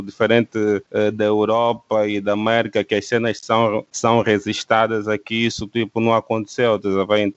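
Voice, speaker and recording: Low flat-voiced Angolan man, hesitant. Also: clean tone, no echo, highs cut off. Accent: Angolan Portuguese. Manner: hesitant, many fillers and tag questions. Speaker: guest. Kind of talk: casual interview chat.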